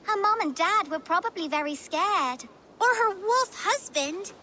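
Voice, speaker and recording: A woman's voice: very high-pitched, expressive, a little slow, pushed and bright, slight background noise, heavily acted. A woman playing a bold, bossy, cheerful little Viking child in a video game, talking with insistent confidence. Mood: playful.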